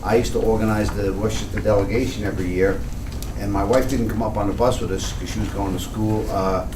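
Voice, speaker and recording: Man, low voice, loud and explanatory, distant mic, noisy echoey meeting room. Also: steady tone, fairly quick. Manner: loud, explanatory, with frequent restarts. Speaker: tenant organization director. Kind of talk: workshop talk.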